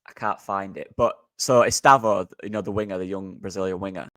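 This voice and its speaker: A man's voice: deep voice, steady tone, fairly quick, fairly bright tone, no echo, studio quality. A man with a Yorkshire accent, co-hosting a casual football podcast, talking with enthusiasm. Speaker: podcast co-host.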